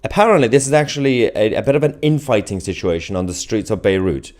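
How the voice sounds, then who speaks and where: A man's voice: lowish voice, lively intonation, fast, a little mellow. A man hosting a live news commentary show, speaking to viewers in a sardonic, mocking and emphatic way. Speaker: host of online political news show.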